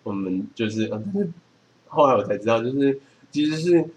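A man's voice: mid-range voice, expressive, brisk. A man with a Taiwanese accent chatting casually as a podcast host. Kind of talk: casual chat. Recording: clearly noisy background.

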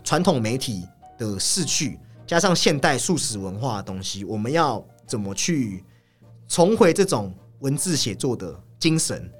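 A man co-hosting a podcast, casually discussing film in an analytical way and often repeating his agreement in quick strings. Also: medium pitch, big pitch swings, breathy edge. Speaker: podcast co-host. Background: background music.

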